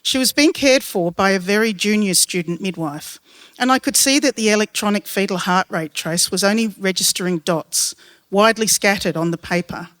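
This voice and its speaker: An Australian woman tells a written true story in a measured, descriptive way. Her pitch sits in the middle for a woman. The sound is close and dry, with little sense of a room. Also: a bit bright, no room sound. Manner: measured, literary, descriptive delivery.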